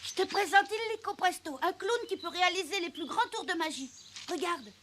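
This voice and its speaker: A woman voicing a cheeky, sassy child who teases, in a French animated film. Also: extremely high pitch, brisk, heavy background noise. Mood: curious, showy. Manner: cheeky, teasing. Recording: music behind the voice.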